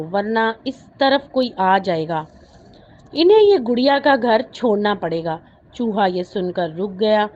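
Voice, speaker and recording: A woman's voice: medium pitch, darkish tone. A woman narrating a scripted children's story as a storyteller. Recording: dull lo-fi audio with clear noise.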